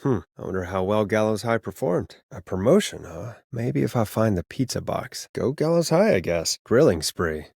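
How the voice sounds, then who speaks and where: A man voicing a quick-witted, earnest radio call-in host in a video game, speaking in a conversational, wry way. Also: fairly low pitch, sing-song, gravelly, darkish tone, husky, no echo, clean studio sound, exaggerated voice. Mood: wry muttering.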